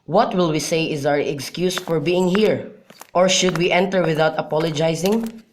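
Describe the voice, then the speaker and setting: A man's voice: a little high, moderate pace, natural voice. A young man in an amateur radio drama, playing a melancholy, lovesick youth in an earnest, mournful manner. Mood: glum. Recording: clearly noisy amateur recording with clicks.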